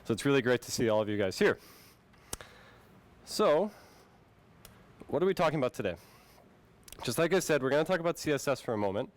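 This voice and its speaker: A young man born in Finland giving a friendly, relaxed presentation to a meetup audience. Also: medium pitch, a little fast, pauses often. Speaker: conference speaker. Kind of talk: tech talk presentation.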